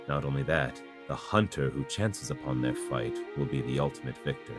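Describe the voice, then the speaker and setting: A man voicing a calm, calculating feudal lord in a visual novel, speaking in a measured, deliberate way. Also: extremely low pitch. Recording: loud background music over the voice. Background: loud new-age music.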